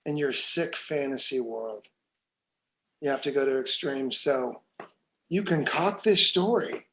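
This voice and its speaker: A man's voice: mid-range voice, unhurried, a bit bright, slight background noise. A man playing a hard, scornful police detective in a drama table read, accusatory and sarcastic.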